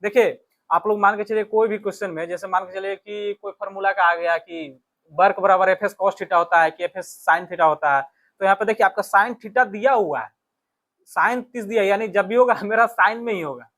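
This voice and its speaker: A man's voice: high voice, normal speed, a bit bright. A man with an Indian accent giving an instructional exam lesson as a teacher, repeating key phrases and checking in with short tags. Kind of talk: physics exam lesson, working through questions.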